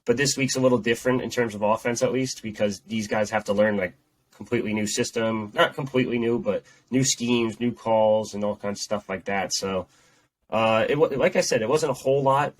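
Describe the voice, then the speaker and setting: A man's voice: mid-range voice, a little fast, rough recording. This man talks through a heavy head cold, so his voice comes out nasal and stuffed up. He co-hosts a casual sports podcast and rambles, circling back over his own points. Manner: rambling, repeats himself. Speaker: podcast co-host.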